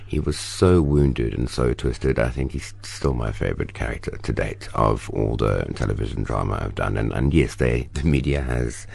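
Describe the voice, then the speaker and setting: A man's voice: a bass voice, moderate pace, vocal fry, few pauses, husky. A middle-aged South African man, an actor, telling stories expressively in an interview. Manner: expressive, storytelling, animated bursts. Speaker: actor, guest. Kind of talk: interview conversation.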